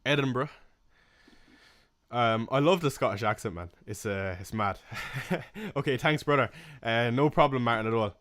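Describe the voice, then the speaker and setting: A man's voice: medium pitch, normal speed. Laid-back young Irish man from Waterford. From Ireland. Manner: chatty, laid-back, frequent fillers and soft laughs. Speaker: fantasy football livestream host. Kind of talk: casual live-stream chat reading comments.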